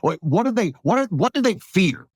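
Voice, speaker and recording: A man's voice: sits high, sing-song, normal speed, loud music behind the voice. A man hosting a casual interview, asking insistent questions and repeating his phrases. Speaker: podcast host, interviewer.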